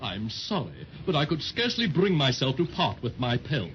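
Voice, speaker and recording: Casting: male actor as grandiose, timid storybook dragon, flustered and very animated, vintage radio serial, muffled noisy transcription. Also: medium pitch, moderate pace, natural voice. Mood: flustered, relieved. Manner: pompous, florid wording, flustered hesitations. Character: pompous but timid male crazy-quilt dragon, friendly. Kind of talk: 1930s children's radio serial acting.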